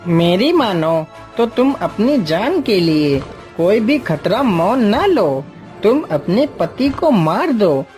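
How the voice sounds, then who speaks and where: Woman doing a sly cartoon village guy, slow and coaxing, faint music. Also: medium pitch, very animated, a little mellow.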